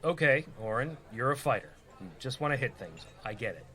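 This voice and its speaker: An actor playing a dry, impatient recruiter of adventurers in a comedy radio play. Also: male voice, medium pitch, animated, fairly quick, fairly bright tone, lightly acted. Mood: dryly impatient.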